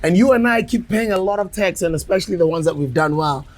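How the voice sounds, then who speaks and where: A South African man in his forties hosting a casual podcast interview, animated and playful, often breaking into laughter. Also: sits high, fast. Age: 40s. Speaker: podcast host.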